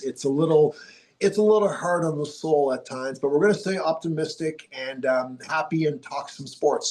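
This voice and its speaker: A man's voice: on the high side, darkish tone, poor audio. A man hosting a sports podcast from a TV studio, chatting casually with a broadcaster's polish while stuffy from a cold. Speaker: podcast host.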